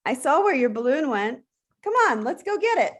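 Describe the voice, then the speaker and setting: A woman playing a bossy, excitable eight-year-old adventurer girl in a table read of an animated film, with a brash, commanding delivery. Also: high-pitched, normal speed. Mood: friendly, eager.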